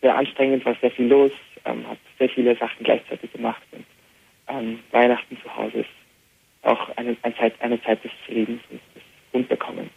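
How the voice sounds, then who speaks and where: A young man, a native Arabic speaker with an Austrian German accent, answering a radio interview by phone in an easy manner with many filler pauses. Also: mid-range voice, completely flat delivery, creaky. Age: young adult. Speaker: interview guest, band musician. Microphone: telephone. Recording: tinny phone line with faint hiss.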